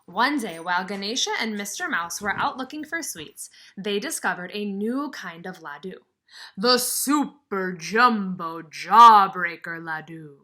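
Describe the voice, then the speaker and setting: Bright, animated woman teaching young children. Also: medium pitch. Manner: expressive, emphatic, pauses to question the listeners. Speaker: kindergarten teacher. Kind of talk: children's read-aloud lesson with questions and explanations.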